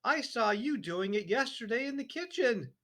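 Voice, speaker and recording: A man's voice: very high-pitched, animated, moderate pace, a bit bright, pitched above the performer's own voice. A man doing a sly innocent little girl. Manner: sweet, falsely innocent. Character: clever, cheeky little girl.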